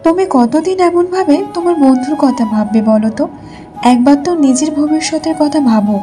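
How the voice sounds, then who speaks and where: A woman's voice: high-pitched, brisk, warm tone, faint music behind, natural voice. A woman voicing a young, earnest village wife in a cartoon story. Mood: pleading, insistent. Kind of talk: Bengali cartoon story voice acting.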